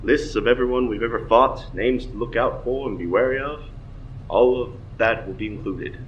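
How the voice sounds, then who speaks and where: A man playing a solemn superhero father in a recorded message, measured and instructive. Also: mid-range voice, close to an everyday voice. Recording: dull, noisy video playback.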